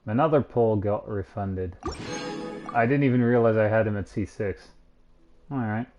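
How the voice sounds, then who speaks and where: Male streamer, slightly higher-pitched voice, casual running commentary, clear game music underneath. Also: moderate pace, dull audio. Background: video game music.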